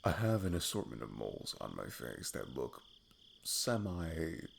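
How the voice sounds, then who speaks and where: A man's voice: very deep voice, very animated, normal speed, creaky, slight background noise, voice pushed down, heavily acted. A man playing a sweet, goofy best friend on a date in an ASMR roleplay, rambling playfully and poking fun at himself. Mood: playful, sheepish. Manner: rambling, playful, self-deprecating. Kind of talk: intimate ASMR roleplay, improvised casual chat.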